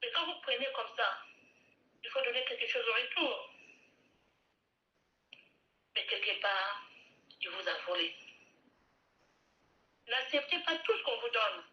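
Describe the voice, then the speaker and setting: A woman's voice: fairly high pitch, expressive, measured pace, pauses often, lo-fi sound. A woman preaching a sermon fervently, repeating phrases and asking listeners to repeat after her.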